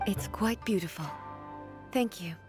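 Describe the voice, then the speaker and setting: An actress playing a dignified, reflective immortal ruler in a video game, speaking formally and in measured phrases. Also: female voice, medium pitch, loud music behind the voice, lightly acted. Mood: gracious. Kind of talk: scripted video game dialogue.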